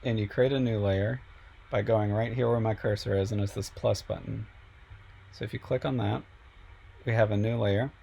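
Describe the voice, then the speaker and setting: A male professor talks through a software lesson in an easygoing, explanatory way, stopping often for ums. His voice sits low and has a gravelly edge. He is a little sick and sounds like he is losing his voice. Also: moderate pace, a little hiss or hum. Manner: relaxed, explanatory, many fillers. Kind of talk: screen-recorded software tutorial.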